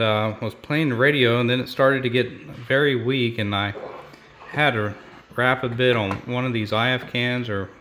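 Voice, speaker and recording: A man's voice: mid-range voice, a bit bright, slight background noise. A man hosting a repair vlog, talking casually and unscripted in a rambling, explanatory way. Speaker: host of a radio repair channel.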